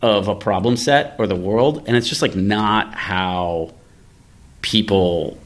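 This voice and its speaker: A man's voice: lowish voice, slow. A man hosting a casual tech discussion, explaining ideas by thinking aloud and posing rhetorical questions. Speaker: podcast host, venture investor.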